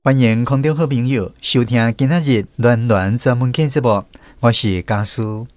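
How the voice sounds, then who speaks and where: A man's voice: mid-range voice, moderate pace, a little mellow, no echo. A man with a Taiwanese accent, a radio host and storyteller reading scripted narration.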